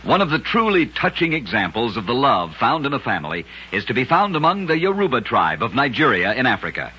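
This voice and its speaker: A man's voice: mid-range voice, very animated, brisk, pushed and bright, breathy edge, dull audio. A man hosting an old-time radio show, narrating scripted oddities in a dramatic, emphatic way on a vintage recording. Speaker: radio storyteller and host.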